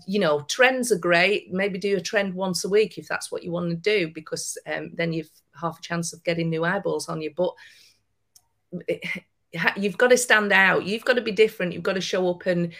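Gravelly Lancashire woman giving pep talks. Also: lowish voice, moderate pace, fairly bright tone. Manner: encouraging, emphatic coaching advice. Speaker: guest. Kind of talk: podcast interview.

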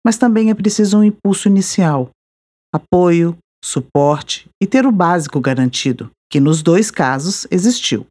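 A Brazilian woman hosting a podcast, reading a measured, reflective scripted narration. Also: lowish voice, expressive, darkish tone, slightly rough. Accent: Brazilian Portuguese. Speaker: podcast host.

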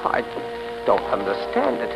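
An actor playing a stubborn, dismissive man in a 1930s radio drama, brisk and commanding with his companion. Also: high voice, huge pitch swings, creaky, over loud music, exaggerated voice. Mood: tense resolve. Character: stubborn, dismissive skeptic determined to face a ghost. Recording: muffled 1930s radio recording.

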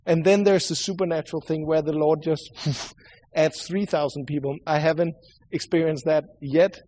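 A man with a Swedish accent delivers a sermon in a voice pitched a little higher than most men's. He speaks earnestly and haltingly, often restarting and repeating his words as he preaches and prays. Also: steady tone, warm tone. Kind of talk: sermon with prayer.

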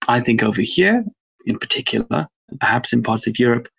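Gravelly husky man, from Wales. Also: medium pitch, very animated, a little fast, fairly bright tone, dull audio. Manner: rambling, thoughtful, many fillers. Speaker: guest researcher. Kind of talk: podcast interview over a call.

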